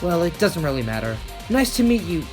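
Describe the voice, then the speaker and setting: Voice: mid-range voice, sing-song, a little fast, a little mellow, faint music behind, close to an everyday voice. A person voicing an earnest, protective teenage boy animator in anime game voice lines, speaking emphatically. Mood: modest, conversational. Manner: emphatic, dramatic delivery.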